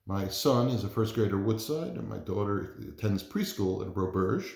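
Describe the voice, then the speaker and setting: A man reads and introduces a children's storybook as a parent volunteer. His voice sits fairly low but swings expressively in pitch. The room adds a noticeable echo around him. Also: lively intonation, normal speed, gravelly, a little mellow. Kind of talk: introducing and narrating a children's picture book. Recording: roomy, echoey indoor sound.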